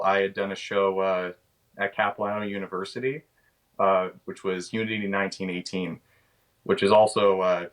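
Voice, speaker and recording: A man's voice: low-pitched, a bit bright. A man in his twenties with a Canadian accent, giving casual interview answers and often searching for his words. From British Columbia, Canada. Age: 20s. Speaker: actor, interview guest.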